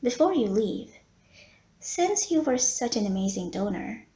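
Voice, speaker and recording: Unhurried, courteous lady vampire nurse, acted by a woman. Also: on the high side, big pitch swings, warm tone. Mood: flirty, pleased. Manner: genteel, formal wording with coy hesitations. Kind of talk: roleplay audio acting, addressed to the listener.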